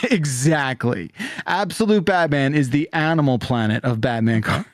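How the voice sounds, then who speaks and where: A man's voice: fairly high pitch, normal speed, no room sound. Man in his forties, close dry sound. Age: 40s. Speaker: podcast panelist. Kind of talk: casual explanatory chat.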